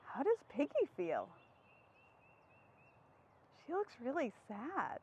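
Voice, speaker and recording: A woman's voice: high voice, wildly swooping pitch, takes it slowly, darkish tone, slight background noise. A woman hosting an interactive storytime for young children, asking simple questions and answering them.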